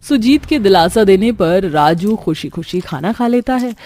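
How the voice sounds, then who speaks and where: A woman's voice: medium pitch, expressive, moderate pace, dark tone. A woman giving scripted narration for a cartoon family story.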